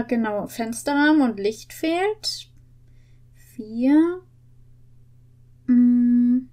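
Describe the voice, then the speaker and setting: A woman's voice: fairly high pitch, a little mellow. A young woman talking casually and playfully as a video host, thinking aloud close to a desk microphone indoors. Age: young adult. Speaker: video host opening an advent calendar. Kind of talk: playful casual chat while solving puzzles. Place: indoor home studio with green screen. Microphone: desk microphone with pop filter, close.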